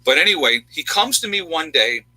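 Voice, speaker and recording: A man's voice: on the high side, expressive, normal speed, bright, forceful tone, no room sound, low-quality recording. A man in his fifties from upstate New York, talking bluntly and emphatically in a casual chat with viewers. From New York State, USA. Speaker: channel host, army veteran.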